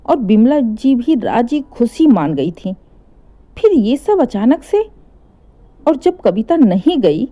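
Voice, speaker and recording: A woman's voice: on the high side, dark tone. A woman narrating a scripted emotional family story as a dramatic storyteller, in a slightly dull recording.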